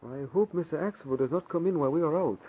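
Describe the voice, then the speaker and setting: An actor playing a loyal, careful valet in a radio drama, speaking clipped, deferential broken English. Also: male voice, a little high, quick pace, close to an everyday voice. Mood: cautious concern. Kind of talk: old-time radio drama acting. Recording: dull lo-fi vintage radio recording.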